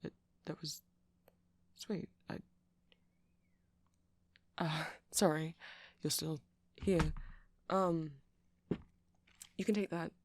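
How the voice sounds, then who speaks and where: A man voicing a shy, nerdy, kindly vampire shopkeeper in an ASMR roleplay, hesitant and awkwardly polite, husky and breathy. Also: a little high, fairly even intonation, very slow, pauses often, a little hiss or hum. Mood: awkward, friendly.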